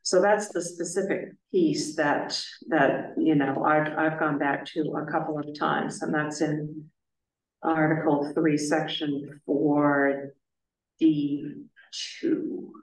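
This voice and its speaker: A middle-aged woman chairing a town planning board meeting, speaking in a measured, careful way with many filler pauses. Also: low-pitched, fairly even intonation, recorded from a distance. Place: town office meeting room. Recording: echoey room, voice picked up from afar.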